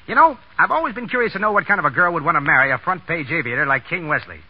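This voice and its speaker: A man's voice: fairly high pitch, animated, very fast, breathy edge. An actor playing a blunt, bossy, cocky man with slangy scolding talk in 1930s radio drama style. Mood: wry. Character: brusque, cocky, bossy young wisecracking man. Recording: muffled 1930s radio broadcast.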